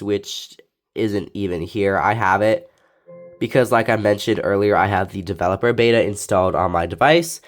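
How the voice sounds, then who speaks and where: A young man with a raspy voice from allergies, reviewing a phone casually with lots of fillers. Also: on the low side. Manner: rambling, filler-heavy, laid-back. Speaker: tech reviewer.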